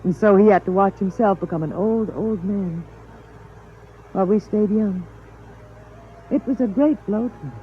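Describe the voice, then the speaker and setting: A woman acting in a 1950s radio drama as a serene, wistful artificial wife, measured and breathy, on a thin old recording. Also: mid-range voice, phone-quality sound, slight background noise, natural voice. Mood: wistful, composed.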